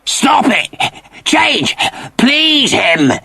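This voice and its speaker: A man voicing an angry, bullying alien guard in an audio story, barking menacing commands in a harsh, strained rasp. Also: very high voice, very animated, drawn-out words, pushed and bright, voice pushed up, exaggerated voice. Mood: furious shrieking.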